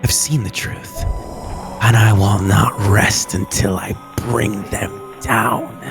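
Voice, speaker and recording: A man playing a vengeful, anguished young kung-fu warrior in a radio drama, declaiming with dramatic pauses. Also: lowish voice, fairly even intonation, over music. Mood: defiant, resolute.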